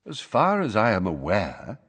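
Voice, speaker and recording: A measured, courteous old wizard, as a male audiobook reader voices him, polite but firm. The voice is husky and lively in pitch, with long reverb around it. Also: exaggerated voice. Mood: cool.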